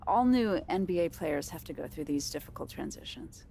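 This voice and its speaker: An actress playing an assured, uncompromising corporate sports agent in a video game, delivering lines like a polished pitch. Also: female voice, animated, brisk, vocal fry, mellow, a little hiss or hum. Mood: firm. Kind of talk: naturalistic game cutscene dialogue.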